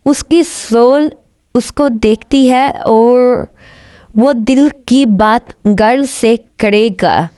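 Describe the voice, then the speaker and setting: A woman playing a young university student organising a play, in a soft audio roleplay. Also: fairly high pitch, no echo, studio quality.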